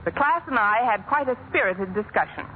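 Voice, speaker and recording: An actress playing a sharp-tongued schoolteacher with dry wisecracks, in 1940s radio sitcom acting. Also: female voice, mid-range voice, expressive, fairly quick, lightly acted. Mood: wry. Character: sharp-tongued woman schoolteacher, wry and lovestruck. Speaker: schoolteacher narrator and lead. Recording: tinny, hissy old radio broadcast.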